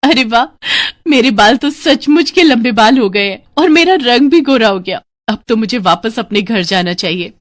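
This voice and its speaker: A woman's voice: medium pitch, steady tone, moderate pace, hardly any pauses, dry recording, studio quality, faint music behind, natural voice. A woman voicing a kind, earnest young heroine of a fairy tale in an eager, girlish manner. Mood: delighted.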